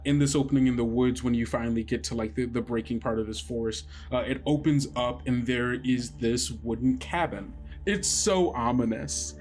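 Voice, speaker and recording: A man's voice: medium pitch, normal speed, few pauses, darkish tone, soft background music. A man working as game master, narrating a tabletop roleplay in an informal, improvised way.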